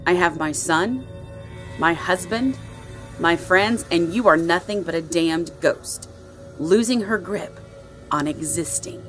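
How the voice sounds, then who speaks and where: A woman playing a protective, dangerous mother in a supernatural audio drama, speaking in a measured, cool, imperious manner. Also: lowish voice, music in the background. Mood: cold, defiant. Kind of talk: audio roleplay drama acting.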